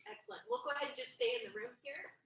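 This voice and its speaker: Brief: woman meeting chair, higher voice, cheerful, picked up from afar in a reverberant room. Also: animated, vocal fry, a bit bright, slight background noise. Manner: friendly, upbeat, quick affirmations. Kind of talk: running a hybrid board meeting. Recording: echoey room, far from mic.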